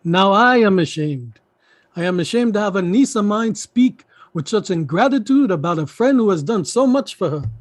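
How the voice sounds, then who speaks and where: A man's voice: high-pitched, lively intonation, moderate pace, dry recording. A man acting in a play reading as a middle-aged uncle, pleading and repeating himself emphatically. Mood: indignant. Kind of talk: theatrical stage play reading.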